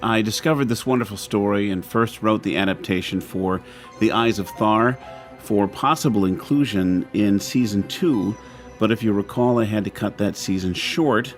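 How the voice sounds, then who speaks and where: Male host for drama podcast outro. Lower register, informal and chatty, light music bed. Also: normal speed. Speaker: host and producer of an audio drama show.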